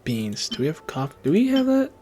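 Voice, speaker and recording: A man's voice: fairly high pitch, huge pitch swings, brisk, dark tone, a little hiss or hum. A man giving casual gameplay commentary, muttering and repeating words to himself. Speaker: game streamer.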